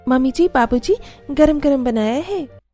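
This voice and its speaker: Woman as sweet young bride, eager, high voice, lively melody, cartoon storytelling, faint music. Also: unhurried. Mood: cheerful. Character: eager, sweet young daughter-in-law who loves spice. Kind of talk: Hindi cartoon story acting.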